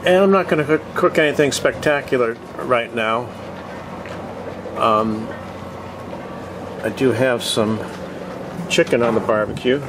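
A man giving a casual video tour in short, halting, plainspoken phrases, recorded outdoors on a boat deck with a handheld camera. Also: mid-range voice. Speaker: host of a cooking vlog. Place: open deck of a boat on the water. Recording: outdoor ambient noise.

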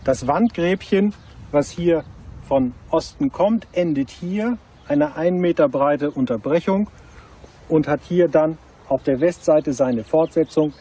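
A man's voice: a little high, slow, dark tone, slightly rough. A male archaeologist giving a matter-of-fact, step-by-step explanation on site at an outdoor excavation.

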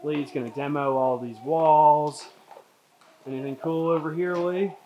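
A woman's voice: sits low, steady tone, unhurried, mellow, highs cut off. Chatty woman filming a walkthrough of an empty old mill on a handheld camera, bit of background hiss. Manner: upbeat, chatty, keeps calling others by name. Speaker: distillery owner giving a tour. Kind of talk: casual walkthrough commentary. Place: large empty old mill building, indoors.

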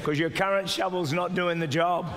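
An older man preaching a sermon as pastor, exhorting the congregation, sometimes shouting and mimicking doubters in a higher voice. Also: fairly even intonation, moderate pace, a crowd in the background. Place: large church auditorium with stage. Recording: live church hall reverb with music. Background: soft music and congregation responses.

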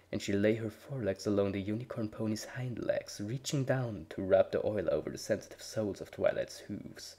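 A man narrating a dramatic reading of fan fiction, measured, voicing each character's dialogue. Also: on the low side, dark tone. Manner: measured storytelling with voiced dialogue.

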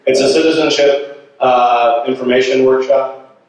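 A man's voice: medium pitch, steady tone, measured pace, darkish tone. A man making informal announcements as a guest speaker at a meeting in a large church. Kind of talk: informal announcement, answering questions. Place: large church sanctuary, indoors. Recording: echoey church hall, distant mic.

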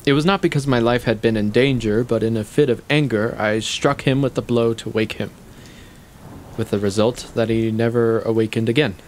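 Man playing cold intellectual, male, medium pitch, measured, disdainful, audio stage drama, light background noise. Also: natural voice. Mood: cold, matter-of-fact. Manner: measured, disdainful, coolly rational. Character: cold, haughty male scholar who killed a man. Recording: steady audible background noise.

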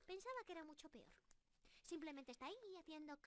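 Very high, cheeky cute squirrel girl. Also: animated, fairly quick, heavy background noise. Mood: soft, content. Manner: playful, genki, pleading and apologetic. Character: cute, cheeky, excitable young squirrel girl, thieving but sweet. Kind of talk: ASMR roleplay, speaking to the listener.

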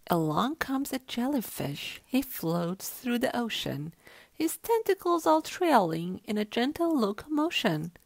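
A woman reads a children's rhyming story aloud. Her voice is fairly high and moves expressively up and down, at a slow, rhythmic pace. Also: very animated, gravelly, no room sound. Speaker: storybook reader.

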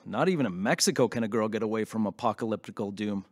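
A man's voice: on the low side, fast, a little hiss or hum, natural voice. A man playing a wisecracking radio host in an audio drama, chatty, jokey and casual. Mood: jokey, upbeat. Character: breezy, wisecracking male radio show host.

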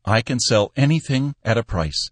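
A man's voice: medium pitch, expressive, moderate pace, no room sound, close to an everyday voice. A man voicing a confident young ex-soldier salesman in an audiobook, bland, polite and unconcerned. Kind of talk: audiobook character voice.

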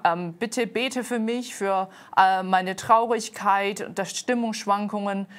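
Earnest woman hosting a studio show. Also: medium pitch. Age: 30s. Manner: earnest, halting with many fillers. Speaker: host and dance team leader. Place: TV studio set. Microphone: lapel mic.

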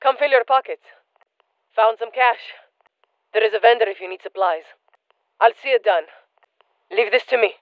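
A tough female special forces operator from a military video game, voiced by a woman, barking clipped callouts and commands. Her voice sits high and bright for a soldier. The studio sound is completely dry and close. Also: normal speed, pauses often, no echo, dull audio, natural voice.

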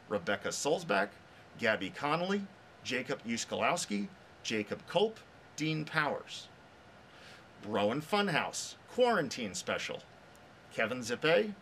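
A man's voice: mid-range voice, fairly bright tone, slight background noise. A middle-aged man, a professor presenting a scripted awards show, slightly muffled by a face mask. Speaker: professor, festival director and presenter. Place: TV control room.

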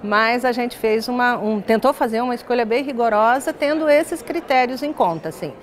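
Older Brazilian woman, slightly high voice. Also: moderate pace. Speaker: interviewed university professor. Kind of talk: studio interview, explanatory talk. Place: glass-walled indoor lounge set. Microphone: lapel.

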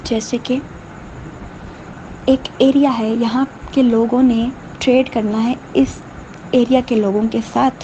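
A woman teaching a linguistics lesson over slides, explaining in Hindustani and reading English definitions slowly. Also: a little high, fairly even intonation, warm tone, dull audio, a little hiss or hum.

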